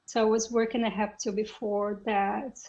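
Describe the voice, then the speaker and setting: A woman from Brazil with a Brazilian Portuguese accent, a guest on a tech podcast who speaks in a halting way and often pauses to find her words. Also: mid-range voice, flat delivery, darkish tone, highs cut off. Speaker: podcast guest, software engineer. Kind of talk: casual interview talk.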